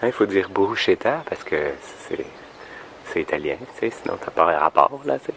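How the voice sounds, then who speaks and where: A deep-voiced man from Canada hosts a casual tutorial in a deliberate French-from-France accent that lets some Québécois turns through. His delivery is creaky, mock-earnest and meandering, full of halting asides. Clear outdoor ambient noise sits behind him. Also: expressive, a bit bright. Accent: French, deliberately European French with some Québécois turns. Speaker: singer and vocal coach presenting a video. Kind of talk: casual, humorous vlog tutorial.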